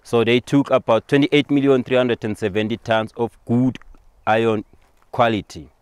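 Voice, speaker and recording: A man's voice: medium pitch, steady tone, normal speed, clean tone, no echo. A man from Eswatini, a native Siswati speaker with a Swazi accent, explains things as a local tour guide, repeating and rephrasing simply.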